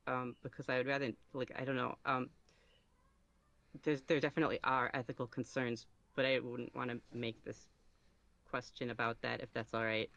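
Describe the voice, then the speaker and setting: Guy on a podcast, kinda halting, lots of vocal fry, really dry sound. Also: medium pitch, flat delivery, bright, forceful tone, no echo, lo-fi sound. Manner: hesitant, halting, thinking aloud. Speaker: podcast guest, ASMR roleplay creator. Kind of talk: casual podcast interview answers.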